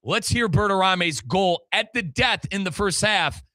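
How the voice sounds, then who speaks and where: A man's voice: high-pitched, expressive, unhurried, bright, forceful tone, no room sound, studio quality. An American man hosting a sports show, recapping matches in an emphatic, colloquial way and speaking straight to listeners and his co-host. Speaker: sports show host and radio play-by-play commentator.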